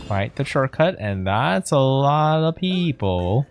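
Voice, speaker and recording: Low-key streamer woman with swinging intonation. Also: very animated, takes it slowly, music in the background. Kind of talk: casual game playthrough commentary.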